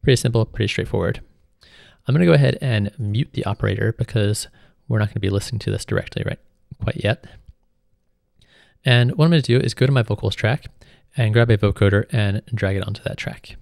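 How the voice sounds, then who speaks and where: A man giving an informal, step-by-step tutorial as a music software instructor. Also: on the low side, fairly quick, vocal fry, dry recording, clean studio sound. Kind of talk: tutorial narration, explanatory.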